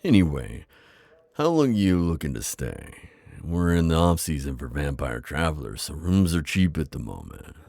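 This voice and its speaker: Guy doing a friendly old vampire innkeeper, asmr roleplay, super low creaky voice, chatty and reassuring, really close dry sound. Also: big pitch swings, moderate pace, darkish tone, dry recording, full character voice. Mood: chatty, hospitable. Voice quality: deep, gravelly. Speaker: roleplay audio performer. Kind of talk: immersive ASMR roleplay acting.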